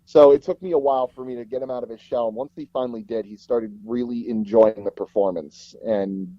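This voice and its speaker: Man, interview guest, casual storyteller, animated, acts out dialogue, medium pitch, muffled lo-fi audio. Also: brisk, no echo. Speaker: guest, voice actor and audio drama producer.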